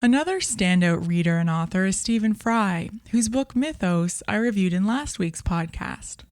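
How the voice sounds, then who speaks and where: A woman's voice: mid-range voice, expressive, normal speed, a little mellow, no echo, studio quality. A woman hosting a review podcast, speaking in a scripted narration style. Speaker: podcast host and audiobook reviewer.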